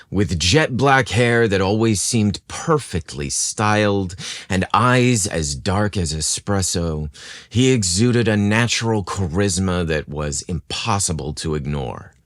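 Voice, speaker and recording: A man hosting a podcast and narrating scripted romantic stories in a theatrical, expressive manner. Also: fairly low pitch, dry recording, clean studio sound. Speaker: podcast host and story narrator.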